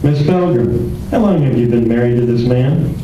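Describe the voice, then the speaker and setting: A man playing a flirtatious, wisecracking private detective in a radio play, with a teasing, unhurried manner. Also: medium pitch, lively intonation, noisy background, natural voice. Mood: dry, accusing. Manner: teasing, unhurried, breaks into crooning. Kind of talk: old-time radio detective drama acting. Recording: echoey distant room, music behind.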